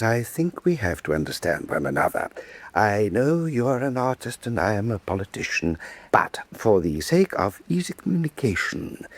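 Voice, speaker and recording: An actor playing a vain, imperious Venetian statesman in a radio drama, suave and pompous, with silky menace and a husky voice. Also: on the low side, heavily acted. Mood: silky, controlling. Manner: suave, pompous, deliberate rhetorical repetition. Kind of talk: full-cast radio drama acting.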